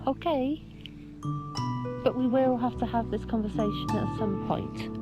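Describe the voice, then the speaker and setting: A woman's voice: on the high side, unhurried, close to an everyday voice. An actress playing a wounded middle-aged mother in naturalistic film dialogue, pointed and dryly sarcastic. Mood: hurt, reproachful. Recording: dull sound, loud music behind. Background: instrumental music.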